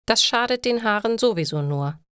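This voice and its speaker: A woman's voice: a little high, animated, normal speed, a bit bright, smooth, dry recording, clean studio sound. A woman voicing an irritable, put-upon young man in an audio story, polite but firm and plainly protesting. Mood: defensive.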